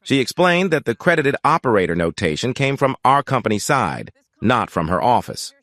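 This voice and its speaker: Man narrating a story, expressive pitch. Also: normal speed, no echo, studio quality. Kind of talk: scripted first-person story narration.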